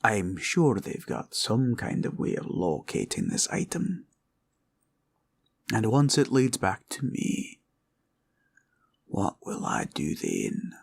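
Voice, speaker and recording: A man voicing a sly, greedy green dragon villain in a fantasy roleplay, with a husky growl and taunting, drawling delivery. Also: lowish voice, huge pitch swings, full character voice. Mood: menacing, taunting. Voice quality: gravelly, husky, breathy growl. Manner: slow, drawling, taunting, archaic turns of phrase.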